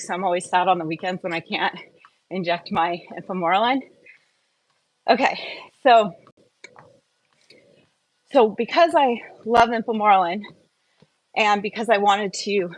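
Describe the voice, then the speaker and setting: A woman's voice: medium pitch, moderate pace, long pauses, dry recording, poor audio. A woman host giving a casual solo wellness update, explaining step by step in a conversational way and posing questions to the listener.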